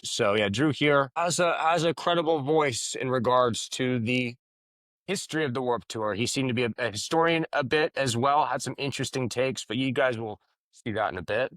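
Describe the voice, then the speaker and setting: A man's voice: mid-range voice, expressive, normal speed, a bit bright, no room sound. A man co-hosting a podcast, joking and teasing his co-host in casual chat. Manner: teasing, crude joking banter.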